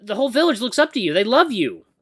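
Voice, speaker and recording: A man plays a young, sensitive apprentice runner in an audio story. The voice is high and very animated, stammering and expressive, quick to tip into sweary exasperation. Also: husky, dry recording, clean studio sound, heavily acted. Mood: gentle, earnest.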